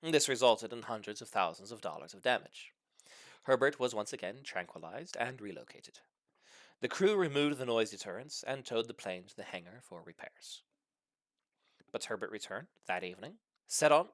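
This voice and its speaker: A man's voice: mid-range voice, animated, moderate pace, a bit bright, dry recording, studio quality. A man reading a funny short story aloud as scripted narration, with theatrical, mock-serious emphasis. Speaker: story reader.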